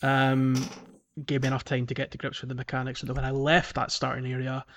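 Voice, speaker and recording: Male podcast host, mid pitch, narrow range, explanatory and wordy casual gaming chat. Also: monotone, fairly quick, a bit bright.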